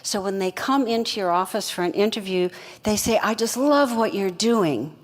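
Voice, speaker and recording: A woman, a company co-founder, chimes in briskly with examples as a guest on stage. Her voice has a long hall echo. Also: mid-range voice. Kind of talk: fireside chat on stage.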